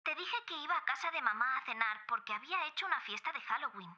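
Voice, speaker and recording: High, piercingly bright irritated daughter. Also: female voice, animated, fast, dull audio, exaggerated voice. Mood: annoyed, defensive. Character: irritated young daughter defending herself to her father. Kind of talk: audio drama dialogue.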